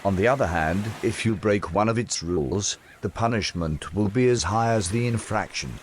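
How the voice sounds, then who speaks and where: A man's voice: fairly low pitch, moderate pace, slight background noise, natural voice. A man playing the courteous but firm ruler of a prison island in an audio drama, speaking formally and with command. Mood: calm, authoritative. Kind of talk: audio drama dialogue in English.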